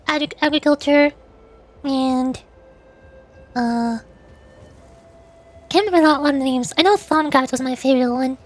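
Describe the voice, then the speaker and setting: Very high-pitched woman streamer, casual chatter. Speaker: livestreamer. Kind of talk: casual livestream chat, reading out chat messages.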